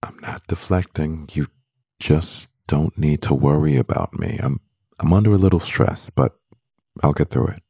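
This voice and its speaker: A man playing a stressed, devoted CEO boyfriend in an intimate ASMR roleplay, murmuring with a husky, gravelly voice and pauses. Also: very deep voice, mellow, dry recording, clean studio sound, exaggerated voice. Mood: weary, tender.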